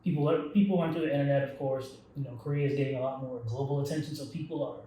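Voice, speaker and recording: A man's voice: medium pitch, fairly even intonation, very fast, warm tone. A young man co-hosting a casual podcast, talking in a rambling way with many restarts and "like" fillers. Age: young adult. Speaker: podcast co-host. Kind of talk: casual chat. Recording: very echoey room, distant from mic.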